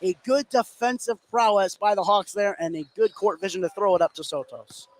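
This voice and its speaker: High-voiced man calling live basketball, noisy background. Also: a little fast. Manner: excitable, calling plays as they happen. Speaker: play-by-play sports announcer. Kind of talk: live basketball radio commentary. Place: indoor basketball game, live. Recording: noisy live gym broadcast.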